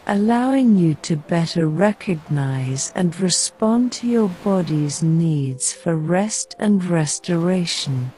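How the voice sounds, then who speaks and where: A woman with a soft, mellow tone leads a guided sleep meditation. She speaks very slowly and gently, in short measured phrases with pauses, over a bed of music. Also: on the low side.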